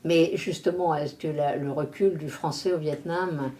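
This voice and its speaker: A woman's voice: deep voice, fairly even intonation, measured pace, mellow. A woman interviewer who chimes in with remarks and prompting questions. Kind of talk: conversational interview.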